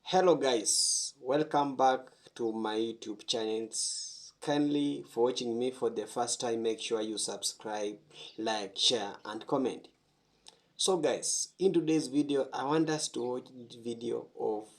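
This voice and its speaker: A man hosting his reaction channel, greeting viewers and introducing the clip. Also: medium pitch. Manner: slow, friendly address to viewers. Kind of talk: casual video intro.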